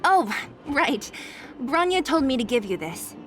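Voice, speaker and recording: A woman's voice: high-pitched, sing-song, measured pace, a bit bright, soft background music, heavily acted. An actress voicing a brusque, no-nonsense young woman in a video game, blunt and matter-of-fact. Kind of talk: anime-style game voice acting.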